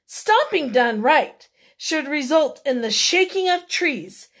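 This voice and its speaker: Lady doing a big bossy bear from a kids book, kinda high voice, talks down to you. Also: lively intonation, slow, a bit bright, full character voice. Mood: scornful.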